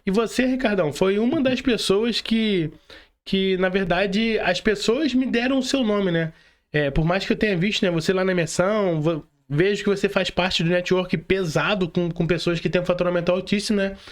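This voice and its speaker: A man with a Brazilian accent speaks in a clearly high register. He hosts a podcast in a conversational way, using informal slang and addressing both his guest and the listeners directly. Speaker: podcast host.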